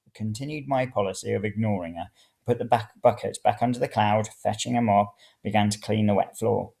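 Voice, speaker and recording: A narrator reading first-person serial fiction in an expressive, dramatic way. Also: mid-range voice, brisk, vocal fry. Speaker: story reader.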